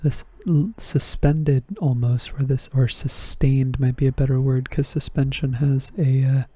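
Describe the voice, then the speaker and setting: Guy teaching music theory, kinda hoarse and strained, low dark voice, talks in one flat tone, dry close sound. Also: unhurried, no echo. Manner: thinks aloud, fillers and self-corrections. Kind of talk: casual music theory lesson. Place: indoors at a desk.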